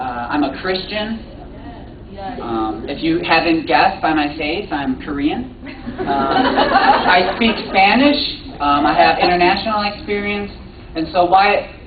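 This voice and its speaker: Hesitant young man lecturing in an echoey hall. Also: fairly high pitch. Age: 20s. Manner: hesitant, many ums and restarts, quizzes the audience. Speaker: guest speaker, professor. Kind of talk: interactive lecture to students. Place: large auditorium. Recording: echoey hall, distant mic, dull sound.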